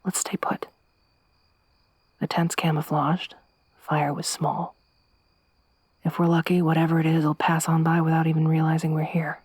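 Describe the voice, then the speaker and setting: A woman voicing a tough young woman survivor around thirty in an ASMR roleplay, soft-spoken with dry humor. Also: low-pitched, level tone, moderate pace, long pauses, breathy edge, no echo. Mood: hushed. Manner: hushed whispering when hiding.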